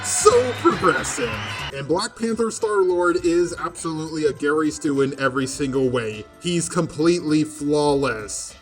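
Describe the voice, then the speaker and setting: A man's voice: a little high, lively intonation, moderate pace, few pauses. A man delivering scripted video commentary in an emphatic, sarcastic and incredulous manner. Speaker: video essayist host. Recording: roomy echo, music behind. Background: background music.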